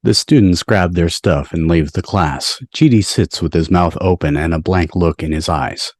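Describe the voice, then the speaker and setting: A man's voice: low-pitched, normal speed, darkish tone, no echo. A man narrating scene headings and stage directions from a script at a table read. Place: home, on a video call.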